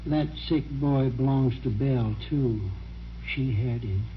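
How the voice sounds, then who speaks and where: A man's voice: medium pitch, measured pace, close to an everyday voice. An actor playing a plain-spoken, determined small-town man with a folksy, persistent manner in an old-time radio drama. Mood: firm, persuading. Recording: muffled, slightly noisy vintage radio recording.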